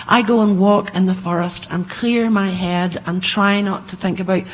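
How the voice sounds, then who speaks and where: Female panelist, a writer. Medium pitch, informal, anecdotal delivery. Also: steady tone, moderate pace, low-quality recording.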